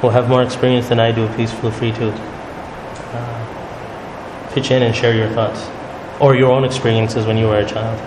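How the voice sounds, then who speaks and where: A man leading a religious study circle, explaining calmly and often checking in with "right?". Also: mid-range voice, measured pace. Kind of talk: religious talk to a group. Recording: echoey hall with noise.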